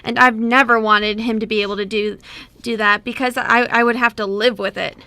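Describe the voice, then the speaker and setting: Bright, chatty young sister played by a woman. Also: on the high side, fairly even intonation, a little fast, no echo. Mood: eager. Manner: eager, talkative. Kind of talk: amateur radio play acting.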